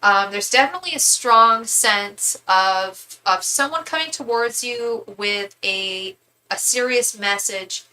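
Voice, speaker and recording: A woman's voice: fairly high pitch, takes it slowly, pushed and bright, rough recording. A woman in her thirties giving a live tarot reading, chatty and rambling, with many asides and restarts. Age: 30s. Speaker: tarot reader.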